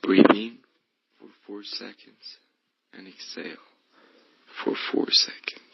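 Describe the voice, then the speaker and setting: Male meditation guide, low pitch with gravelly fry, hushed delivery, slightly muffled recording. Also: fairly even intonation, slow pace, slight background noise. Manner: hushed, breathy, often slipping toward whisper. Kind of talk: guided meditation, soothing instruction.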